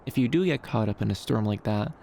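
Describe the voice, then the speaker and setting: Guy doing soft shy fox boy asmr, bit creaky, hesitant n soothing, faint background ambience. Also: fairly low pitch, animated, quick pace, a little hiss or hum. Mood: soothing, pensive. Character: shy, gentle, caring young male dream fox companion. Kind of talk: ASMR roleplay, soft comforting monologue.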